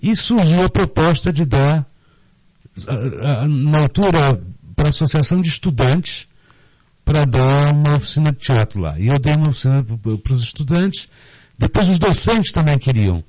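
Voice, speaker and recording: A middle-aged man with a Brazilian Portuguese accent, explaining in a casual radio interview in a halting way, often repeating words. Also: on the high side, expressive, a little mellow, dry recording, poor audio. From Brazil, born in Rio de Janeiro, raised in Recife. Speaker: guest, storyteller and theatre group director. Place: radio studio, dry close sound.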